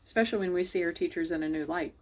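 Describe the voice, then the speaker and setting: A woman's voice: lowish voice, very fast. A woman hosting a children's storytime, reading a picture book aloud and chatting directly to young listeners. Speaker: library storytime host.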